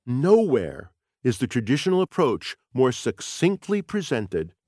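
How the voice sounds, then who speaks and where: A man narrates a nonfiction audiobook in a very dry, close recording. His pitch moves around a lot, and his phrasing is deliberate and emphatic. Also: wildly swooping pitch, a little mellow, no echo. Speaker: author reading own audiobook.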